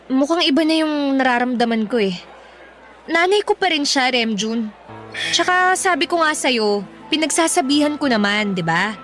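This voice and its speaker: A young woman in a radio drama, with a high voice. She is defensive and easily stung, answering back in colloquial, sarcastic turns. Music plays underneath. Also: fairly bright tone. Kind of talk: Tagalog radio drama acting.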